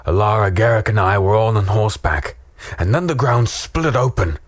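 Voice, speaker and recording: A man playing a battered, weary human in a dark fantasy audio drama, wry and fatalistic as he tells his story. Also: lowish voice, moderate pace, no echo, lightly acted. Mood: dazed.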